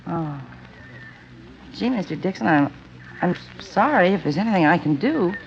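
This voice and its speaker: Restless radio runaway, lively intonation. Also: female voice, lowish voice, normal speed, vocal fry. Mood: contrite. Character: restless, defiant runaway daughter of respectable family. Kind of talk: 1950s radio drama acting. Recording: dull, noisy old radio recording.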